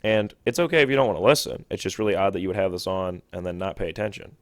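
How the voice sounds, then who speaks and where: Fast-talking young man, dry close sound. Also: sits low, no room sound. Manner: quick, self-correcting and chatty. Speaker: podcast host. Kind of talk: solo sports podcast talk.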